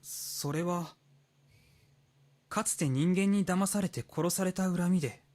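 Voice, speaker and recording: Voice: mid-range voice, moderate pace, darkish tone, close to an everyday voice. An actor voicing a mature, reserved teenage boy in a Japanese visual novel, speaking with formal, hesitant politeness.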